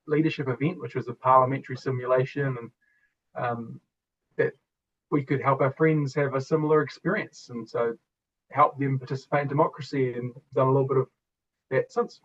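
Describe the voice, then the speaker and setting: A man in his twenties with a New Zealand accent, a guest in an interview speaking reflectively and earnestly with soft laughs. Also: mid-range voice, steady tone, normal speed, lo-fi sound. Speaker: guest, former student. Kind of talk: conversational interview.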